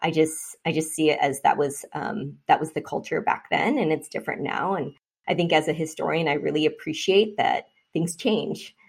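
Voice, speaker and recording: A woman from Vietnam speaking as an interview guest, reflective and measured, often pausing with fillers. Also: sits low, creaky, husky. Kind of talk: conversational interview.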